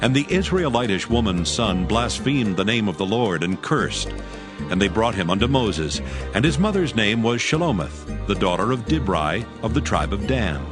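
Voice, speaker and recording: A man's voice: lowish voice, sing-song, moderate pace. A man narrating scripture in a formal, solemn storytelling manner from a script. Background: music.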